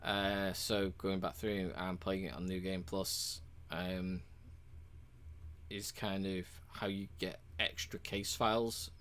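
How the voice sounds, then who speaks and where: Man, fairly low voice, casual game walkthrough voice-over, relaxed, filler-heavy. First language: English. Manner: relaxed, rambling, frequent ums and uhs. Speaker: game reviewer.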